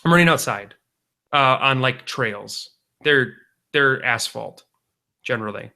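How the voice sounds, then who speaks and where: A man's voice: medium pitch, measured pace, creaky, pushed and bright. A man in his thirties co-hosting a podcast, talking casually and rambling with many restarts. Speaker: podcast co-host. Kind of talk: casual chat.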